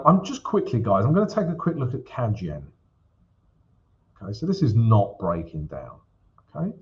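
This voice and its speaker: Chatty man, lively pitch. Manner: chatty, peppers lines with "yeah?" and "guys". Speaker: forex livestream host. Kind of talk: live trading chart walkthrough. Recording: slightly dull livestream audio.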